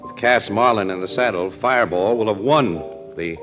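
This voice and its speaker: An actor playing a breezy, nosy American newspaper photographer in 1940s radio style, slangy and wisecracking. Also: male voice, lowish voice, normal speed, lightly acted, low-quality recording. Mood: breezy, curious. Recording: vintage radio drama, music in places.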